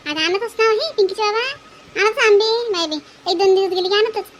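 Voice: extremely high pitch, a little fast, noisy background. A performer voicing a chatty, rambling village housewife in a cartoon, with a rural Vidarbha Marathi accent. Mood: mildly impatient. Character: chatty village housewife gossiping about mangoes and menfolk. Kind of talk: cartoon family chat.

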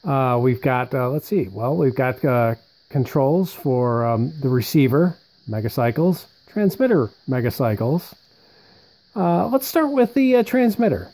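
A man with a soft-edged, mellow voice presents in an easy show-and-tell style. He talks conversationally and thinks aloud as he goes, at a medium pitch. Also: lively intonation, measured pace, rough recording. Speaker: presenter showing vintage military radio equipment. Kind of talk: casual unscripted show-and-tell narration.

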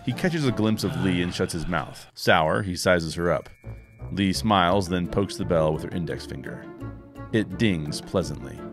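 A man in his forties reading screenplay action lines in a measured, wry way into a studio microphone in a home podcast studio. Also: deep voice, music in the background. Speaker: host and narrator. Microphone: studio microphone with headphones.

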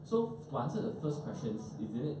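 A man's voice: medium pitch, sing-song, moderate pace. A man in his thirties speaking informally as a startup panelist, in a colloquial way peppered with "you know". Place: large event room with dark wall, indoors. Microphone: handheld mic into PA, picked up by far camera. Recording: very echoey room, distant mic, loud noise.

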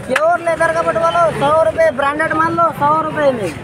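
A male street vendor delivers sales patter outdoors. His voice is extremely high for a man, amid heavy noise and a loud market crowd. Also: fairly even intonation, normal speed.

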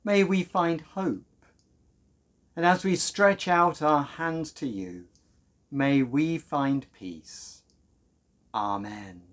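A British man in his fifties leading a home church service as minister, with reverent, measured and deliberate phrasing. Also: a little high. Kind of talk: sermon and prayer, reverent delivery. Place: small room at home, indoors.

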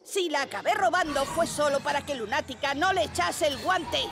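A woman voicing a proud, defiant young male armored hero with a gravelly voice in a cartoon dub. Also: sits high, normal speed.

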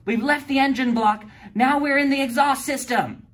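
A bookish storybook schoolgirl, put on by a man reading aloud explains what is happening in a high, raised voice. She sounds eager and sure of her research. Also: normal speed, fairly bright tone. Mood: explaining. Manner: quoting research from her book.

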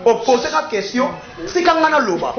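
A young Congolese man argues casually and forcefully, repeating his points. His high voice swings widely in pitch. The sound is roomy, in a casual interview setting. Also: lively intonation, fairly quick, lo-fi sound, crowd noise. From Democratic Republic of the Congo. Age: young adult. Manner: emphatic, repetitive, argumentative. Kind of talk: animated casual debate.

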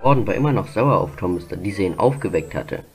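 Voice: sits low, animated, fast talker, darkish tone, breathy edge, full character voice. A performer gives a storybook narrator for a cartoon about engines. The telling is scripted and plain, with music running behind it. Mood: narrating.

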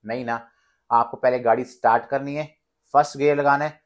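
A man's voice: mid-range voice, fairly even intonation, fairly quick. A man, explaining things to viewers in an earnest, instructive way as a fitness vlogger. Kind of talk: explainer to camera.

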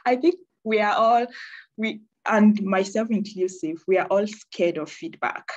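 A woman's voice: mid-range voice, a little slow. A woman whose first language is Yoruba gives earnest study advice as a guest speaker on a video call, repeating her key points. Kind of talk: webinar advice talk. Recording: dull lo-fi video call audio.

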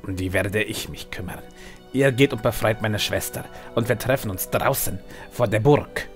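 A man's voice: on the low side, moderate pace, faint music behind, natural voice. A man playing a grim, earnest alchemist and devoted brother in a fan-made fantasy audio drama. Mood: earnest, instructing.

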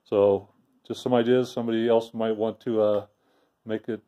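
Low-voiced man explaining a garage project. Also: little pitch movement, dull audio. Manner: plain, unhurried, with many restarts and "uh"s. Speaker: DIY video maker. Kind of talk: casual show-and-tell walkthrough. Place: home garage. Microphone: handheld phone camera, speaker off screen.

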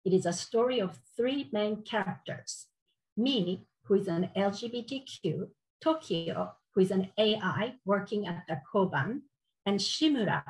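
An older woman, a native Japanese speaker with a Japanese accent, giving a prepared formal speech as a contest judge in a measured way. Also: medium pitch, darkish tone, rough recording. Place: indoors on a video call, virtual backdrop.

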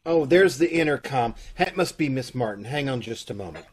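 An actor playing a fussy, flustered loan officer in an old-time radio comedy, with hesitant, businesslike patter over a video call. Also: male voice, mid-range voice, lively intonation, fairly quick, slightly rough. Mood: flustered, apologetic. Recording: live video-call recording.

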